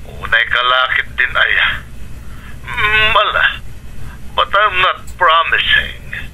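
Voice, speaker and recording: An actor playing a devoted middle-aged widower father in a radio drama, mixing English into his drawn-out talk and reluctant to love again. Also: fairly high pitch, lively intonation, pushed and bright, heavily acted, poor audio. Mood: wistful, devoted. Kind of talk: Ilocano radio drama acting.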